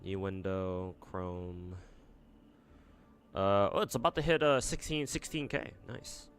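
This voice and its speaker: Offhand American man streaming, low voice. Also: fairly even intonation, slow pace, smooth. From United States. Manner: rambling and offhand, with frequent swearing and restarts. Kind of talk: casual livestream chat while gaming.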